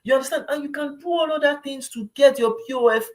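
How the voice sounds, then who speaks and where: A man's voice: extremely high pitch, fast. A man with a Nigerian accent speaks as an advice vlogger in an informal, explanatory style. His delivery is conversational and emphatic. He often repeats a phrase for weight and checks that the listener understands.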